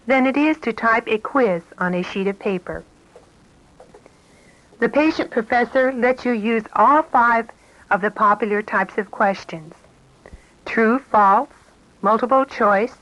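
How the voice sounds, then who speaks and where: A woman reading scripted instructional narration in a measured, explanatory manner on an old 1970s cassette tape. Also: a little high, a bit bright, lo-fi sound. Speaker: narrator of an educational program tape.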